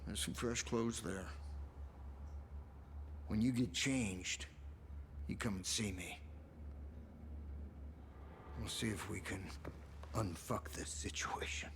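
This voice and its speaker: An actor playing a gruff, weary older American survivalist in a video game, talking bluntly with swearing and a folksy turn of phrase. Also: male voice, on the low side, slow pace, pauses often, background noise. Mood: low, resigned. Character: gruff, weary older male survivalist, cynical but defiant. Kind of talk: video game cutscene acting. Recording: dramatic music behind the voice.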